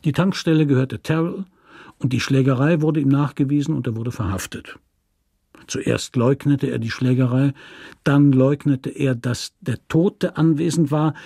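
A man's voice: on the high side, warm tone, no room sound, clean studio sound. A man narrating a literary radio story as a scripted reading.

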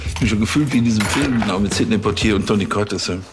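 A man's voice: lowish voice, very fast, close to an everyday voice. An actor playing a middle-aged, self-important policeman in a TV crime comedy, pompous and easily flustered. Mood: curious. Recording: clear background noise.

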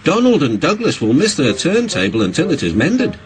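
A man's voice: on the high side, wildly swooping pitch, fairly quick. A man voicing a sensible, teasing male tank engine in a children's storybook. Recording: music behind the voice.

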